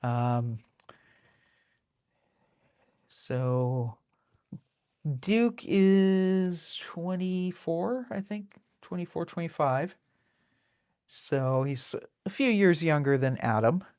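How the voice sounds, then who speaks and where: A woman with a deep contralto voice chatting casually and in a rambling way as she hosts a live drawing stream. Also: darkish tone, no echo, clean studio sound. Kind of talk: casual chat while drawing.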